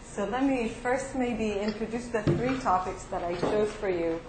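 A young woman lectures to a physics class, pausing often with ums and uhs. The room is echoey and there is steady noise behind her voice. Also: medium pitch. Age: young adult. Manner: hesitant, many fillers, friendly. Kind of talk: university lecture with class introductions.

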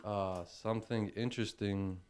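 A man from Iran introducing himself in a halting way, with many fillers. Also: on the low side, fairly even intonation, a little mellow, clean tone. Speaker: new graduate student.

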